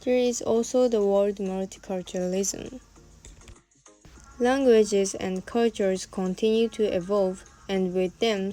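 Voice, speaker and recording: Young woman reading her talk slow and careful, warm soft tone. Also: medium pitch, poor audio. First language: Japanese. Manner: careful, measured reading with non-native phrasing. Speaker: student presenter. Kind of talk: reading a prepared presentation. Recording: faint music behind the voice. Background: faint background music.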